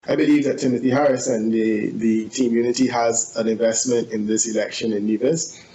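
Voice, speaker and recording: A man's voice: medium pitch, steady tone, brisk, warm tone. A man giving political commentary as an analyst. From Barbados. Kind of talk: spoken interview commentary. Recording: muffled, echoey interview audio.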